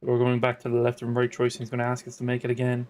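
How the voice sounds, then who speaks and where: A man's voice: medium pitch, completely flat delivery, rapid-fire, darkish tone. A man hosting a gaming video, chatting casually as he plays. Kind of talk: casual gameplay banter.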